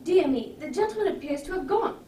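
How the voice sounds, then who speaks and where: A woman performs an apologetic, very formal butler in a radio play. The voice is high and polite, caught in an echoey room with faint noise. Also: lively intonation, brisk, warm tone, recorded from a distance. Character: deferential, apologetic male butler. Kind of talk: amateur radio drama acting.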